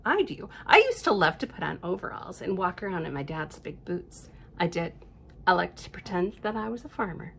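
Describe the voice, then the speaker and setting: A woman's voice: on the low side, very animated, moderate pace, vocal fry, a bit bright, a little hiss or hum. A woman reading a children's story aloud expressively, breaking off for chatty, conversational asides to young listeners. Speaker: librarian storyteller.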